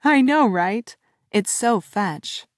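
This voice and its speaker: A woman's voice: medium pitch, expressive, takes it slowly, dry recording, studio quality. A woman voicing a giddy young woman newly in love, gushing and teasing her friend.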